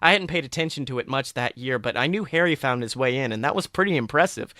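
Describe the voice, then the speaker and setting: Bright-voiced man narrating a story. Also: mid-range voice, animated, fast, no room sound, studio quality. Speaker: podcast host reading fan fiction. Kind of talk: dramatic first-person story narration.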